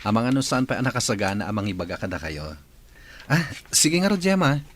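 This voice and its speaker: A man's voice: lowish voice, very animated, fairly quick, a bit bright, a little hiss or hum. An actor playing an easygoing young man, a teasing friend, in a radio drama. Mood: casual.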